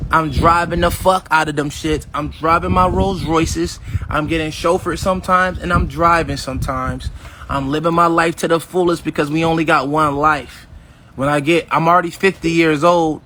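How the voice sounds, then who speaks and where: A swaggering man in his fifties talks straight to his audience in a loose, boastful manner. His voice sits a little high for a man. A faint hiss runs under the recording. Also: fairly bright tone. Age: 50s. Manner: swaggering, addresses viewers directly as y'all; acts out past conversations in quotes. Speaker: vlogger. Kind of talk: casual boastful livestream talk.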